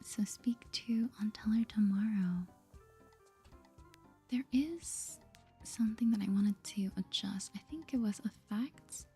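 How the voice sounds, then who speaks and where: A woman whispering soft-spoken ASMR commentary over a video game, chatty and gentle, reading dialogue aloud in a breathy voice. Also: mid-range voice, slow pace. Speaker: gaming video host. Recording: soft music behind the voice.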